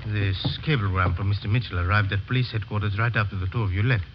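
An actor playing a brisk, deferential police officer delivering word in an old radio drama. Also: male voice, fairly low pitch, close to an everyday voice. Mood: dutiful. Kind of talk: 1940s-50s radio drama acting. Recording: muffled, noisy old radio recording.

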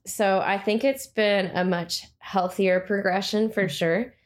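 A young woman with a quiet voice, talking hesitantly with many fillers. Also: medium pitch, fairly even intonation, a little slow. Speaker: guest. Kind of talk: casual conversation.

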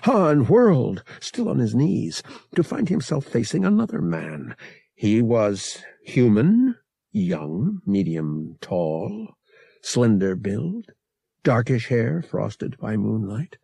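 Male fiction narrator: dramatic scripted read, deliberate pacing, highly animated intonation, dry close sound. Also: creaky, breathy edge, no echo. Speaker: audiobook narrator.

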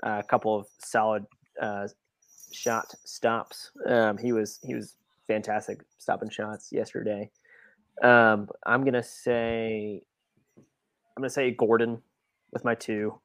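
A man with a lowish voice, recorded dry and close. He discusses sports casually as a panelist, haltingly, often repeating a phrase. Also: flat delivery, a bit bright, no echo. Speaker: podcast panelist.

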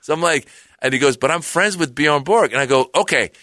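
Bright-voiced man telling an animated story. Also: a little high, fairly quick, no echo, studio quality. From Iran. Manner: animated, rambling, with restarts and acted-out quotes. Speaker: comedian guest. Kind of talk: casual storytelling chat.

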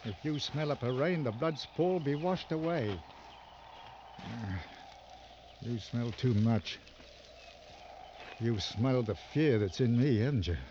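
An actor in an old radio drama playing a weary, sardonic big game hunter with a gruff, brooding manner. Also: male voice, medium pitch, animated, measured pace, long pauses, mellow, close to an everyday voice. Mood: uneasy. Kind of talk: 1940s-60s radio drama acting. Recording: dull, noisy old radio recording.

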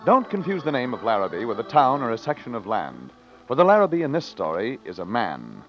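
A man's voice: mid-range voice, huge pitch swings, a little fast, faint music behind. A man narrating a western adventure in a grand, dramatic old-time radio announcer style. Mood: grave, dramatic. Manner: grand, theatrical. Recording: muffled old radio recording.

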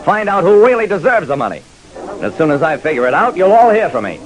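Lively American radio detective. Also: male voice, high-pitched, fast. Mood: brisk, determined. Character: wry, dogged male private detective telling his case. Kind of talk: old-time radio detective narration and acting. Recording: faintly hissy vintage radio recording.

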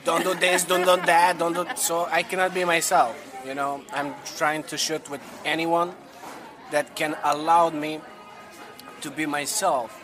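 A man's voice: fairly high pitch, steady tone, measured pace, over music. A young man with a Serbian accent, speaking English carefully in a casual interview over lunch in a hotel restaurant. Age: young adult. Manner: careful, searching for words. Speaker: guest.

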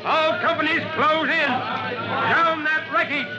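High, shouting fire chief. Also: male voice, slow, highs cut off. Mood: urgent, commanding. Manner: booming, urgent, commanding. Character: shouting fire chief barking orders at a blaze. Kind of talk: old-time radio drama acting. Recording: old radio drama, loud music and crowd.

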